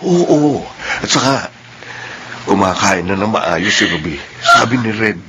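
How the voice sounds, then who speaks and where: A man's voice: on the low side, sing-song, measured pace. A man playing a protective, reassuring middle-aged working father in a radio drama. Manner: concerned, reassuring. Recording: clear background noise.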